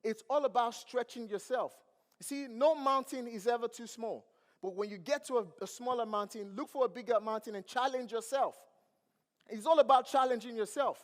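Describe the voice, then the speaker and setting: Nigerian-accented male motivational speaker, high voice, crowd questions, ringing hall reverb. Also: normal speed. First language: not English. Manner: preachy, asks the crowd questions. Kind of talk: motivational stage speech. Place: large event hall.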